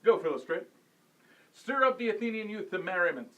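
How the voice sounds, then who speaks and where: A man playing a stately noble duke in a live Shakespeare reading, measured and formal, a little distant from the microphone. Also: high voice, very animated, fairly bright tone. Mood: lordly.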